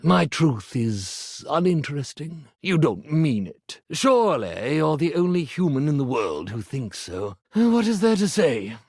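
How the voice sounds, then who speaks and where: A man voicing a pompous, insecure stage magician for a video game, with grandiose, florid delivery and dramatic pauses. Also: on the high side, sing-song, a little mellow, breathy edge, dry recording, clean studio sound, exaggerated voice. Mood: brooding, grandiose. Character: pompous theatrical iron-turned-stage-magician, melodramatic and insecure. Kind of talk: video game character acting.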